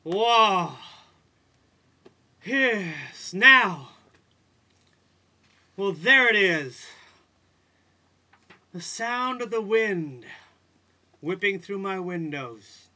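Brief: a performer as a frazzled, pleading villager, slow and drawn out, bright tone. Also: medium pitch, lively intonation, exaggerated voice. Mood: dreamy. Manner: pleading and exasperated, with long drawn-out cries. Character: weary man driven mad by noise at home. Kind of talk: acted folktale storytelling.

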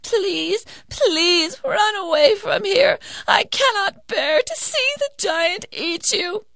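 A frightened old mother begs tearfully, her voice high and slow with a gravelly crackle, as a storyteller puts her on. It is recorded close and dry. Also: lively intonation, dry recording, full character voice. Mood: pleading. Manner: high, quavering, pleading. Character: grieving old farm woman, timid and pleading.

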